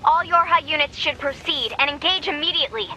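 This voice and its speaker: A woman playing an earnest android military operator in a video game, giving urgent, clearly worded briefings. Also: sits high, a little fast, sharp tone, a little hiss or hum, poor audio. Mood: urgent, alert.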